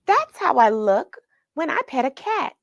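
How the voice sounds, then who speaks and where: A woman voicing a caring, thoughtful girl who plays animal doctor in a children's storybook, with a gentle, pondering manner. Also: high-pitched, big pitch swings, a little slow, creaky, no room sound, lo-fi sound, voice pushed up, full character voice.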